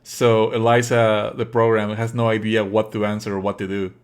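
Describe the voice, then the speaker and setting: A man's voice: fairly low pitch, little pitch movement, normal speed. A man whose native language is Spanish, giving sardonic, exasperated live commentary as he streams a game. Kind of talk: live let's play commentary.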